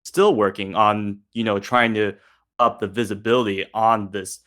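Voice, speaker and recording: A man with a lowish but bright, forward voice hosts a casual discussion. He meanders toward his questions, rewording them as he goes. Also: normal speed. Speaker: discussion host.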